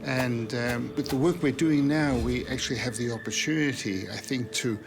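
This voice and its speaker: A man in an interview, speaking hesitantly with pauses and fillers. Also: mid-range voice, vocal fry, music in the background.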